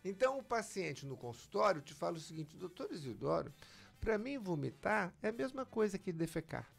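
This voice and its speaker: A man speaks as a doctor in an interview, rising and falling animatedly and acting out what his patients say. His voice has a gravelly edge, and quiet music plays behind him. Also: high voice, fairly quick. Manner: lively, emphatic, acts out patients' lines. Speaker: physician guest. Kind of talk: explanatory interview talk.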